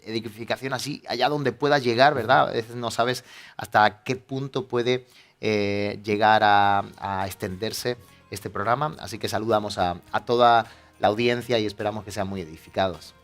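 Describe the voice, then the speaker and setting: Man, pastor, TV host, low voice, jokey, halting fillers, faint music. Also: fairly even intonation, a bit bright. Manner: friendly, joking, drawn-out fillers.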